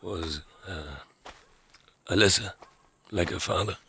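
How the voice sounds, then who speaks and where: A man playing a gruff, troubled fantasy leader in an audio drama, blunt, informal and halting, with a gravelly voice. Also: deep voice, bright, forceful tone, a little hiss or hum, exaggerated voice. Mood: hesitant, probing. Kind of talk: naturalistic dark fantasy audio drama acting.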